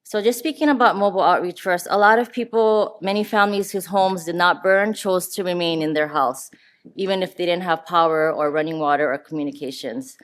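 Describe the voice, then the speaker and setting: A woman's voice: fairly low pitch, a little fast. A woman giving a conference talk on public health work, earnest and walking through her slides. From Hawaii. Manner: earnest, talks through slides, some restarts. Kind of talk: conference presentation. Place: conference room. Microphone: handheld or podium mic.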